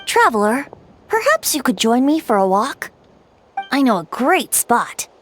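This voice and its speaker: A woman's voice: a little high, sing-song, unhurried, a little hiss or hum. A woman voicing a playful, wistful ancient spirit in the shape of a youthful bard in a video game, with a lilting, teasing, theatrical delivery. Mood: inviting. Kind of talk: scripted game character storytelling. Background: background music.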